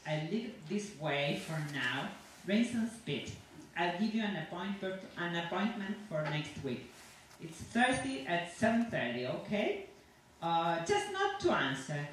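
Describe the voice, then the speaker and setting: A woman reading a story aloud as a chatty, self-satisfied, sinister dentist who rambles on with cheerful reassurance to a patient. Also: medium pitch. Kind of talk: dramatic monologue read aloud to an audience. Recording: echoey room from far off, faint noise.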